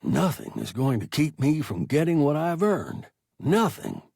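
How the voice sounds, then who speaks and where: A man voicing a weary, fatherly male pilot who keeps his voice low and soft. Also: creaky, breathy edge, no room sound, studio quality, full character voice. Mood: bitter resolve. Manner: low and deliberately soft, trying to sound calm. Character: weary middle-aged male pilot, gruff but fatherly.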